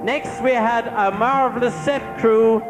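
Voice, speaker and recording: A man's voice: very high voice, fairly even intonation, a little slow. An Irish man acting as master of ceremonies at an amateur stage show, effusively reading out thanks and names as he projects to the audience. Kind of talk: live stage announcements and thank-you roll call. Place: large indoor theatre hall, on stage. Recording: echoey hall with loud music, live stage video.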